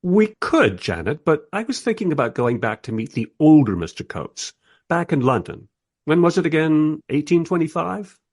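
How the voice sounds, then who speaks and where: An actor playing a wry, curious time traveller who narrates a radio play in the first person and speaks in its dialogue. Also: male voice, fairly high pitch, sing-song, normal speed, darkish tone, dry recording, studio quality. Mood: casual, persuasive. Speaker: narrator and lead character.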